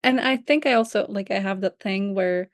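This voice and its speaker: A woman's voice: mid-range voice, normal speed, no room sound, studio quality. A young woman, a non-native English speaker with a light Slavic accent, talking reflectively as a guest researcher and hedging her points. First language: not English. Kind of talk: casual conversation.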